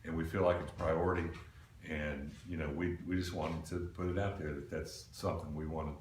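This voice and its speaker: An older man speaks in a very low voice, folksy and plain, as he lays out a proposal to a committee. He is picked up from across a roomy meeting space. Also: flat delivery, a little fast. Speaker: committee member presenting a proposal. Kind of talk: informal explanation at a public meeting. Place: small indoor meeting room. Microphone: camera microphone across the room. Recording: roomy, distant mic with background noise.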